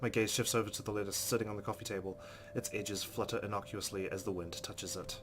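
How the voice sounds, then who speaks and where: A man's voice: on the low side, steady tone, quick pace, background noise. A man doing a let's play, reading game narration aloud expressively and adding casual, informal asides. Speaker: let's player. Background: game music.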